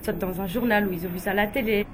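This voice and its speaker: A young woman from Guinea telling personal stories in a relaxed, chatty way, with frequent fillers and light laughs. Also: mid-range voice, fast talker, background noise. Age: young adult. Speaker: interviewee, beauty pageant runner-up.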